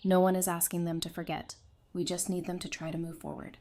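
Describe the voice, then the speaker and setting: A woman's voice: lowish voice, flat delivery, fairly quick, darkish tone, close to an everyday voice. A woman playing a friendly, level-headed survivor and peacemaker in an audio drama, conversational and earnest. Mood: conciliatory.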